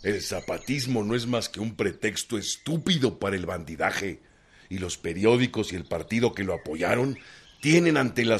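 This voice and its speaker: An actor playing a loyal rural Mexican compadre and adviser in a radio drama, with a folksy, measured manner and a Mexican accent. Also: male voice, lowish voice, a bit bright, breathy edge, exaggerated voice. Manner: folksy, measured, murmured country interjections.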